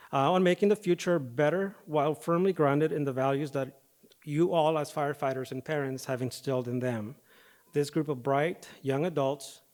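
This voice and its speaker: A man, a firefighter paramedic, giving a prepared speech at an awards ceremony podium, his voice a little off from recent dental work, starting with fillers and then settling into steady reading. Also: a little high. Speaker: firefighter paramedic and foundation president. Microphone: podium microphone.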